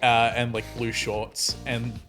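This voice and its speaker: A man's voice: mid-range voice, monotone, slow pace. A man, improvising in a casual group tabletop game chat. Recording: music playing under the voice. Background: music.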